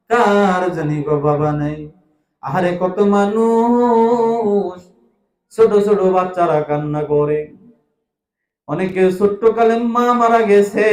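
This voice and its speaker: A man with a Bangladeshi accent preaching an emotive Islamic sermon, pleading with listeners in a drawn-out, sing-song delivery. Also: sits high. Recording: echoing sound system.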